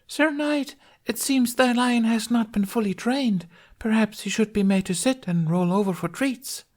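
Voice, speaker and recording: A man's voice: sits high, moderate pace, pitched above the performer's own voice, close to an everyday voice. A man voicing a smug male rabbit in drag who hams up a damsel's overwrought, archaic lament in a story. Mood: smug teasing.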